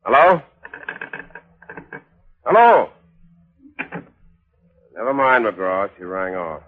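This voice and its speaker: A man's voice: fairly low pitch, big pitch swings, extremely slow, full character voice. An actor playing a brash, eager, slangy newspaperman in a 1940s radio drama. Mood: urgent. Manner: hard-boiled, urgent, slangy. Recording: thin, narrow old radio recording.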